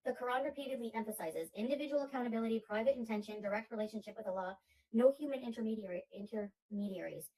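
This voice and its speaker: A woman's voice: fairly high pitch, fast talker, dark tone, far from the mic, poor audio. A woman in a played clip who earnestly reads a reply aloud, with frequent hesitant fillers. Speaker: content creator in played clip. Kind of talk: reading aloud a reply to viewers.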